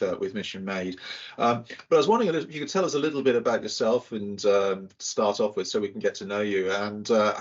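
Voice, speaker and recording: A man from the UK hosting a casual interview, friendly and chatty, halting with uhs and restarts. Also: on the low side, highs cut off. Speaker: interview host.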